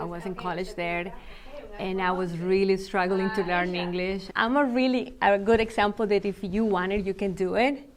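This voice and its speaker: Woman speaking earnestly. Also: on the low side. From Costa Rica. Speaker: interviewee.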